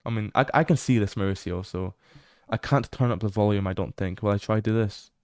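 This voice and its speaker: A young British man livestreaming as he explores a game. He chats casually, swears freely and bursts into excited exclamations. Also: lowish voice, a little fast, dry recording, studio quality.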